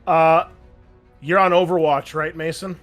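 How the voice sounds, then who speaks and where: A man running a tabletop game as its game master and host, easygoing and quick to laugh, often pausing with ums. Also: fairly high pitch, fairly even intonation, fairly bright tone, soft background music.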